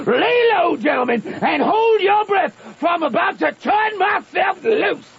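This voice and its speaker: A man's voice: very high-pitched, animated, unhurried, gravelly, a bit bright, raised voice, full character voice. An actor playing a boastful wild frontier raftsman, bellowing bombastic tall-tale brags in an old-time radio drama. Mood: wild boasting. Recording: muffled old radio recording.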